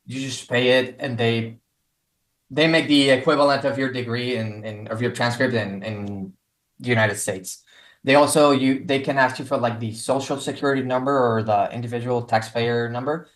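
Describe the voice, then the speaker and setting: A man's voice: medium pitch, moderate pace. Young man, roomy sound. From Mexico. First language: Spanish. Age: 20s. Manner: relaxed, rambling with many fillers. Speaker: guest. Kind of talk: casual interview.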